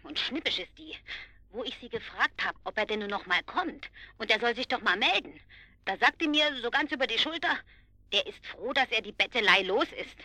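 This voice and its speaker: A woman's voice: fairly high pitch, lively intonation, a little fast, pushed and bright, no echo. An actress playing an agitated, plaintive woman neighbour making an indignant phone call in a radio drama. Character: flustered, indignant woman neighbour phoning the police. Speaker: caller. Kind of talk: naturalistic German radio drama acting.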